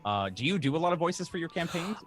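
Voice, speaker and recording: A young man who is a guest voice actor and game master, chatting casually in an animated, self-deprecating way. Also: a little high, fast. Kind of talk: casual interview chat.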